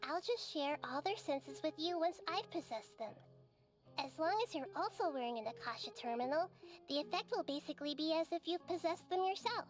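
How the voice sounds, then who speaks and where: A soft-spoken, wise young goddess in a game, high voice. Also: female voice, lively intonation. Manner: soft, measured, thoughtful. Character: small wise girl-like goddess, calm and gentle. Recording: game audio with background music.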